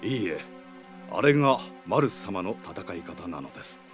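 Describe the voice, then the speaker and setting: A deep-voiced, devoted older knight in a radio drama. He is formal and firm, with an animated rise and fall over faint music. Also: moderate pace. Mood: earnest, resolute. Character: loyal, earnest older male knight retainer. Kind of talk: Japanese radio drama acting.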